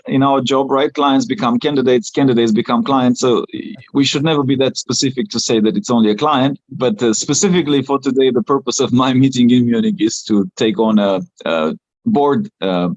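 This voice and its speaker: A man's voice: medium pitch, normal speed, no room sound, lo-fi sound. A man from Romania whose first language is Romanian, talking as a podcast guest with many fillers and restarts. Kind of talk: casual interview talk.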